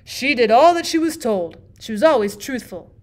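A woman's voice: a little high, animated, moderate pace, a little mellow, lightly acted. A woman reading a storybook part as a hard, blunt bachelor who tells tales with dry mischief. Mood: dry storytelling.

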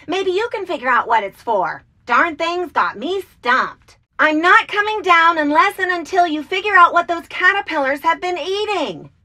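Game role: eccentric, bossy woman researcher, piercingly high, forceful, brusque. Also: full character voice. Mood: brisk. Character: bossy, eccentric female field insect researcher. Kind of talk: video game character acting.

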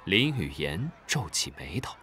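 A man narrating a children's audio drama in a calm storytelling manner. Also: sits low, unhurried, a little hiss or hum.

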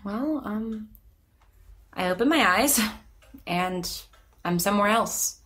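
An actress playing an anxious, lonely woman in her twenties, speaking haltingly and self-deprecatingly in a naturalistic audio drama. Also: mid-range voice, animated, fairly bright tone, a little hiss or hum. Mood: hesitant, reflective. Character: anxious, lonely young woman who vanishes through time.

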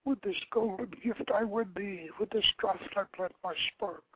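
A man's voice: high-pitched, steady tone, moderate pace, pitched above the performer's own voice, close to an everyday voice. A man voicing a passionate ancient king in a storybook legend, chanting a breathless litany. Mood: passionate, solemn. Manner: breathless, incantatory. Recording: phone-like radio line with background noise.

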